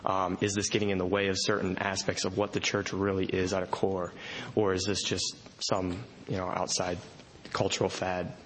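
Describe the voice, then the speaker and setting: A man's voice: sits low, monotone, normal speed, a little hiss or hum. A man from the audience, who grew up in Indonesia, asking a pastor a question hesitantly, with many fillers and an apologetic tone. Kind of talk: question from the floor.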